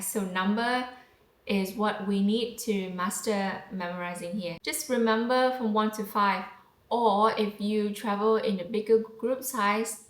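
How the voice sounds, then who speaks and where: Chatty Vietnamese-accented woman, roomy echo. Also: a little high. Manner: excitable, repeats phrases for practice, playful slang. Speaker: travel vlogger teaching phrases. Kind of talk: casual chatty lesson.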